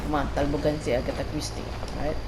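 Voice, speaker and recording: Lowish-voiced Filipino woman chatting. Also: level tone, quick pace, noisy background. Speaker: co-commentator. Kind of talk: casual game commentary.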